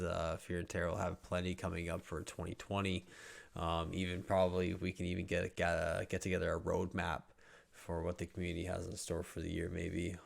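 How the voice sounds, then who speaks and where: A man with a very low, gravelly voice hosts a podcast. His delivery stays flat, laid-back and full of fillers. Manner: laid-back, filler-heavy, wrapping up the show. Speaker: podcast host. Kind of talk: casual podcast chat.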